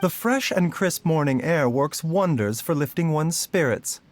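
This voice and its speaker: An actor playing a gentle, scholarly doctor in a video game, speaking in a polite, measured and softly formal manner. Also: male voice, a little high, lively intonation, dry recording. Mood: calm, welcoming. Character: gentle, courteous adult male doctor, calm and scholarly. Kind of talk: scripted game voice lines. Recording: music behind the voice.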